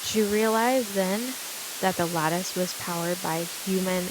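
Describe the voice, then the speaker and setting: A woman's voice: on the low side, fairly even intonation, measured pace. Woman narrating a horror story over noise.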